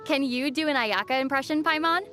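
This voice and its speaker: A woman playing a young female adventurer in a video game, gentle and fondly teasing. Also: sits high, a little fast, soft background music. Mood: wry. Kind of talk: video game character dialogue.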